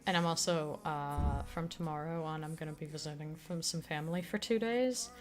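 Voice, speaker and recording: A woman streamer chatting casually with her viewers, rambling with many fillers, her throat still slightly raspy from an illness. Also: low voice, moderate pace. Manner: rambling, full of fillers and restarts. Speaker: streamer and audio creator. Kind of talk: casual livestream chat.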